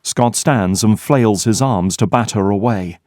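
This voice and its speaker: A man's voice: fairly low pitch, unhurried, darkish tone. A man narrating an audio drama from a script in the role of storyteller.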